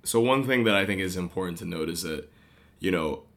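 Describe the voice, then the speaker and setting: A man's voice: sits low, lively intonation, fairly quick, a bit bright. A man in his late twenties, a podcast guest, talking in a hesitant, rambling way full of restarts and filler words. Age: late 20s. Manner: hesitant, lots of "like" and "you know", restarts. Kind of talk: casual chat.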